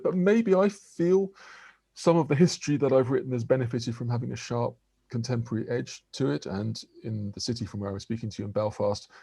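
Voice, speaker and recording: Older professor guy in his 60s, quick talker, kinda husky, mellow tone. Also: lowish voice, no echo. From England. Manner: articulate, measured, restarts words. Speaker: interviewed professor. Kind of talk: academic interview answers.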